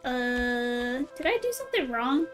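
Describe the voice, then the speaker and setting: A woman's voice: fairly high pitch, very animated, measured pace, music in the background. A woman streamer commenting casually while playing a game, with chatty, wry asides. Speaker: gaming streamer.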